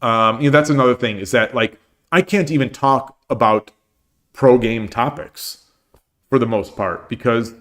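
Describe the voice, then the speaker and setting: A man's voice: medium pitch, moderate pace, a bit bright. A man hosting a casual livestream, chatting at length and answering chat comments, with many restarts and fillers. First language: English. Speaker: streamer and host.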